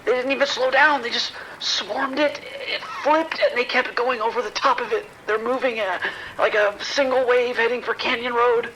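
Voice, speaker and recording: A man's voice: extremely high pitch, fairly even intonation, normal speed, sharp tone, a little hiss or hum, poor audio. A man playing a frightened, shaken civilian calling emergency services in a horror audio drama, stammering and anxious. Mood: terrified.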